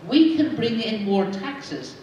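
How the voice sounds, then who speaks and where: A woman's voice: on the high side, very animated, slow pace, warm tone, muffled recording. A woman making a persuasive public comment as a participant at a community meeting. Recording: very echoey theatre, distant, faint noise.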